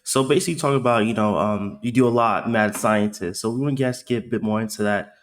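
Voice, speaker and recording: A man hosting a casual podcast, asking loose, halting questions with slang. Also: lowish voice. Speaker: podcast host.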